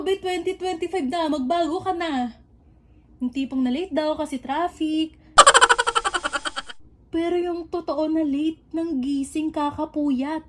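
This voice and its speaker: A young woman with a Filipino accent gives playful scripted narration for a comedic radio drama, chatty, teasing and expressive. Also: sits high.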